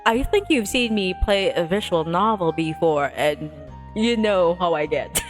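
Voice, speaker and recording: Slow-paced woman streamer with lowish voice. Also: faint music behind. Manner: playful, excitable in asides. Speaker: livestreamer. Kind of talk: casual visual novel playthrough, reading narration aloud.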